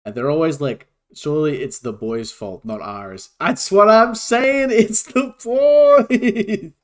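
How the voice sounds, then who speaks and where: A man's voice: high-pitched, slow. A young man streaming, chatting casually in a joking, laid-back way, swearing and laughing often. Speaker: VTuber streamer.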